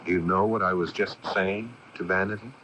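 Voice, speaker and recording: An actor playing an earnest, probing young man in a 1980s radio drama, intent and a little halting. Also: sits low, fairly even intonation, sharp tone. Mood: coaxing. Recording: noisy 1980s AM radio broadcast.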